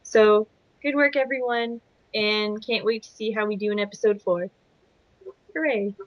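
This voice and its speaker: Even-toned young woman, dull lo-fi sound. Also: mid-range voice, moderate pace, dry recording. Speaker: amateur voice actor. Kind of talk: casual review. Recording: dull lo-fi voice-chat mic.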